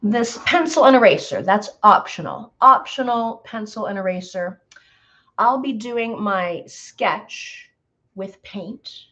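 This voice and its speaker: A slightly hoarse woman hosting a live painting tutorial, chatty and greeting viewers by name with lots of ums. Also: mid-range voice, animated, slow pace, sharp tone, low-quality recording. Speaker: painting instructor.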